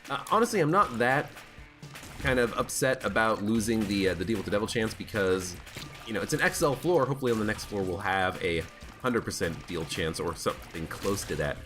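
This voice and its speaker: A man with a stuffed-up, hoarse voice, chatting casually as he plays a video game. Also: on the low side, expressive, fairly quick, a bit bright. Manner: chatty, thinking aloud while playing. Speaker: gaming channel host. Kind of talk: casual let's play commentary. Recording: background game music.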